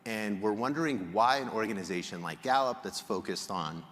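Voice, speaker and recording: Low-voiced man presenting in a reverberant hall. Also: moderate pace, a bit bright. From Egypt. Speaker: conference speaker, polling researcher. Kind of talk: prepared public presentation to an audience. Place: large indoor hall, on stage.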